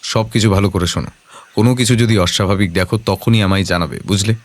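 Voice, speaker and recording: An actor playing a self-assured detective in an audio drama, measured and confident, kindly but commanding. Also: male voice, lowish voice, lightly acted.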